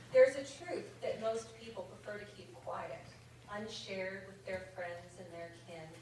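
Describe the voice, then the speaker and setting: A woman poet reading her own comic verse aloud at a conference, with measured comic timing. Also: mid-range voice, noisy background. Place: indoor conference reading room. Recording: very echoey room from far off. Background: audience laughter.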